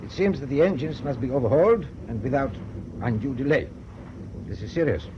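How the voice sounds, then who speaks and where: An actor playing a stern, proud German naval captain in a radio drama, speaking in a clipped, formal, authoritative way. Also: male voice, medium pitch, lively intonation, fairly quick, natural voice. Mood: brusque, businesslike. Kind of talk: BBC radio drama acting. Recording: dull, noisy old radio broadcast tape.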